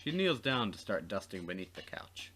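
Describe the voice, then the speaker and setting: A man reading visual novel narration aloud as a let's play co-host, expressive and wry, with casual asides. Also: on the low side, moderate pace, breathy edge.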